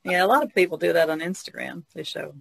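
A woman talks casually as a podcast guest in a bright voice with heavy fry. The recording is dry and close. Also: on the low side, fast, no room sound. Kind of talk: casual chat.

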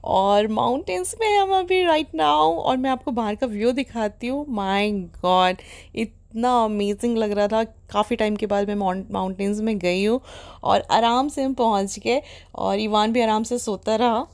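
Chatty excited young woman vlogger. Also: on the high side, a little slow. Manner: chatty, excitable, mixes English into Hindi. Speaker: travel vlogger. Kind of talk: casual travel vlog voice-over.

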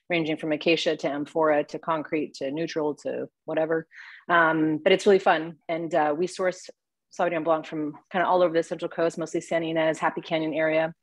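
A woman's voice: deep voice, no room sound. A woman from California who owns a winery and makes the wine, talking in an upbeat, chatty way on a video call. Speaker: winery owner and winemaker. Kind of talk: video call panel talk.

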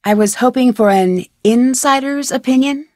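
A woman's voice: sits high, normal speed, darkish tone, no room sound, studio quality, close to an everyday voice. A woman playing a curious, friendly young amateur detective in a video game, with upbeat, conversational scripted delivery. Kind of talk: scripted video game dialogue and tutorial narration.

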